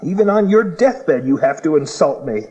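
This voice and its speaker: An actor playing a callous, insincere prince in an audio Bible drama, with a flippant, petulant manner. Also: male voice, on the high side, animated, normal speed, dark tone, close to an everyday voice, rough recording. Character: callous, insincere prince awaiting his father's throne.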